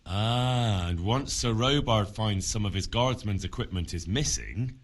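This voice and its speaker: An actor playing a plain-spoken young commoner, the earnest and wary hero of a medieval video game, frank and questioning. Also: male voice, fairly low pitch, a little slow, dry recording. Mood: helpful, puzzled. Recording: loud outdoor ambience.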